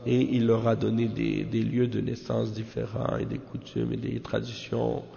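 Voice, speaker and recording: Gravelly male religious teacher in reverberant sound. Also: fairly low pitch, little pitch movement, moderate pace, dark tone. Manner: didactic, repeats phrases for emphasis, rhetorical check-ins. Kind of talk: extemporaneous religious lesson explaining a commentary. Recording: muffled, long hall-like reverb.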